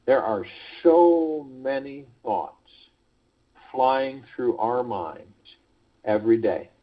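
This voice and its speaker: A man hosting a guided meditation call, speaking in a measured, deliberate way with pauses between phrases, over a phone conference line. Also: medium pitch, expressive. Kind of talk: guided meditation talk, reading prepared spiritual text. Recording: muffled, lo-fi conference call audio.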